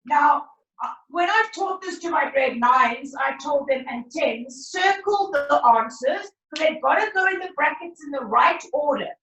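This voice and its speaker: A woman maths teacher, teaching a class over a video call in a brisk, encouraging way, her voice croaky. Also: high-pitched, a bit bright. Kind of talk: online class lesson. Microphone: laptop video call. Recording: roomy far-off video call audio.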